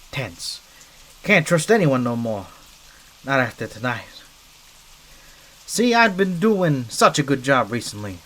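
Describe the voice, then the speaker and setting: A man voicing a scrappy, wary mafia henchman rat who talks in chatty gangster slang, in an ASMR roleplay. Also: medium pitch. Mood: grim, uneasy. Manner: streetwise gangster slang, chatty, hesitant asides.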